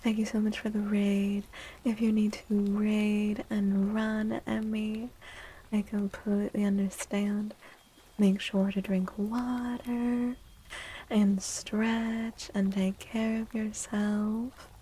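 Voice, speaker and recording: A woman host speaking softly and gently in a livestream ASMR style, breathy and often slipping into a whisper, drawing out her words. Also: mid-range voice, little pitch movement, a little hiss or hum, rough recording. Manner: slow, gentle, drawn-out words. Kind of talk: soft-spoken ASMR livestream chat.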